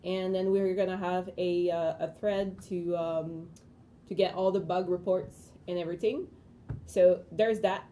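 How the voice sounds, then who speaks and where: A woman co-hosting a casual game-studio livestream, relaxed and quick to laugh. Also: fairly low pitch, slight background noise. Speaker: community stream co-host. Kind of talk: casual livestream news chat.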